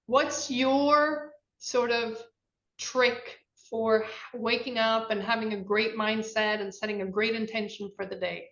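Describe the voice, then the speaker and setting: A woman co-hosting a casual podcast, chatty and animated, laughing easily. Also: a little high, a little slow. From Brazil. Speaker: podcast co-host. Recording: roomy, dull lo-fi sound.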